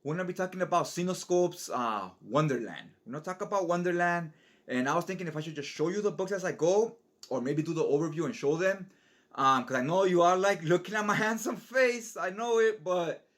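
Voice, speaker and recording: A man giving a casual, unscripted video overview as a comic collector, chatty and informal, often checking in with a tag question. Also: on the high side. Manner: chatty, informal, often tags sentences with "right?". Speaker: comic book collector presenting a reading guide.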